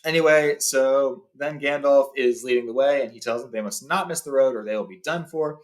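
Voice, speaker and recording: A man's voice: medium pitch, fairly quick. A man hosting a book read-along, informally telling and reading a story aloud.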